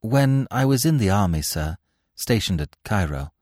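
A man's voice: lowish voice, sing-song, normal speed, a little mellow, no room sound, studio quality. Man voicing a hesitant, deferential ex-soldier witness. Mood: reluctant, resigned. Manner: hesitant, respectful. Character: deferential male ex-soldier confessing as a bribed witness.

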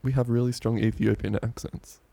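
Laid-back podcast co-host. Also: male voice, mid-range voice, flat delivery, a little fast, vocal fry, warm tone. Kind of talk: casual chat.

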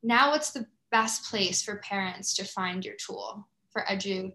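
A woman's voice: mid-range voice, measured pace, gravelly, bright, forceful tone, rough recording. A woman hosting a podcast, chatting in an appreciative, effusive way as she interviews a guest. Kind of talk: conversational interview.

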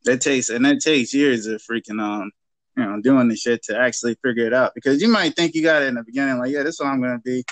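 A man's voice: mid-range voice, fast talker, dry recording. A man in his thirties chatting casually as a wrestler guest on a podcast, with slangy, sweary informal talk. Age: 30s.

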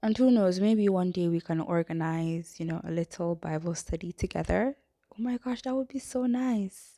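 Soft-spoken young woman with a cold. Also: fairly low pitch, moderate pace, no room sound, studio quality. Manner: rambling, apologetic, often dropping to a breathy near-whisper. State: has a cold. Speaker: faith podcast host. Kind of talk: casual testimony podcast talk.

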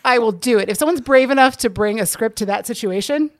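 A woman's voice: on the high side, fast, no room sound, studio quality. A woman speaking as a podcast guest in casual, candid, emphatic conversation. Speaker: podcast guest, table-read organiser. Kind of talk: casual interview chat.

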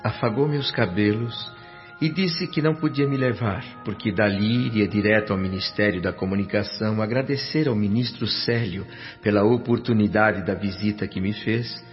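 Man playing a low-voiced, earnest Brazilian narrator. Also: natural voice. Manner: reverent, literary, emotive. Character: grateful, earnest male spirit, former doctor, narrating. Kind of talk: dramatized scripted narration. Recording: dull radio recording, faint music.

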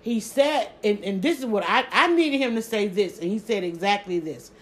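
A woman with a Southern accent chatting casually on a live stream, talking quickly and restarting her sentences often. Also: medium pitch. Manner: rapid, stumbling restarts, animated emphasis. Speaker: social media creator.

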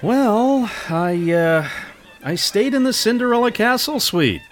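A cheeky, boastful announcer type played by a man in a comedy audio drama. He is coy and teasing, with very animated intonation over faint music. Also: high-pitched, slow. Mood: coy, smug.

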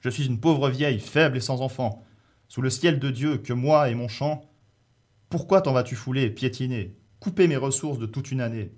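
A man's voice: medium pitch, normal speed, a little mellow, close to an everyday voice. An angry, poor old village woman from a storybook epic, performed by a male storyteller. She scolds and declaims her grievances at length. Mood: angry scolding.

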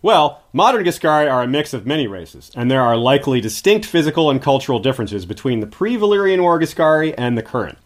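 A man's voice: mid-range voice, very animated, quick pace, hardly any pauses. A man speaking as a guest history expert, giving scripted, articulate explanations in an informative lecturing manner.

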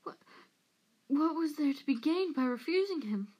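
A woman narrator acts out a cowering traitor of a man in a storybook reading. The voice is pitched high, whining and gasping. He wheedles and stammers, tearful and terrified. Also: lively intonation, normal speed, a little mellow. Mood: tearful, frightened.

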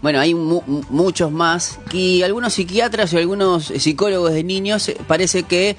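A middle-aged man with a Uruguayan accent hosting a casual radio chat, halting as he searches for words. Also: high-pitched. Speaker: radio host. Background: music in the background.

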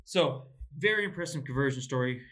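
A man's voice: mid-range voice, animated, fairly quick, a bit bright. A man casually discussing a Bible story, laughing often and restarting his sentences as he goes. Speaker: podcast co-host.

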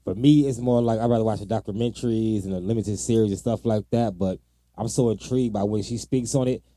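Dark, mellow-voiced male podcast co-host. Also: on the low side, fast talker, no echo. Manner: informal, slangy talk. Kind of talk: casual true-crime podcast chat.

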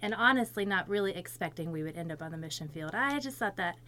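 A woman's voice: lowish voice, lively intonation, fast talker, bright, forceful tone, slight background noise. A woman in her thirties from Florida, a missionary guest giving a conversational personal testimony. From United States, Florida.

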